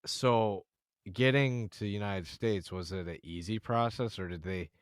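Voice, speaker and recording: A fairly low-voiced young man in his early twenties, hosting a podcast. He asks loose, excitable questions and swears casually. The sound is dry and close to the mic. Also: a little slow, smooth, no room sound. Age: 20s. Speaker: podcast host.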